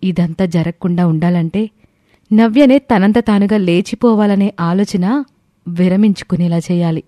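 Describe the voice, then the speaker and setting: A woman playing a thoughtful, protective young wife in an audio story, speaking in an earnest, measured way. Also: lowish voice, mellow, no echo, natural voice. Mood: worried, reflective. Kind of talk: scripted audio story dialogue.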